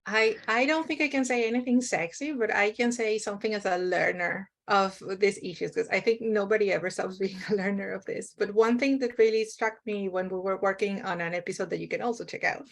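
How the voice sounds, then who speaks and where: A woman with a medium-pitched voice talks in an unscripted panel discussion. She explains in a conversational way, as a contributor sharing what she has learned. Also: a bit bright.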